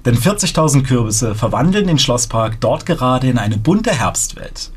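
Animated husky-voiced man presenting news. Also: mid-range voice, moderate pace. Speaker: news anchor. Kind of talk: scripted presenting.

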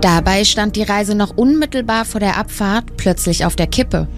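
Calm woman doing a news voiceover, guitar music playing clearly behind her. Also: mid-range voice. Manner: calm, measured narration. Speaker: news reporter. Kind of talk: scripted news voice-over. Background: soft guitar music.

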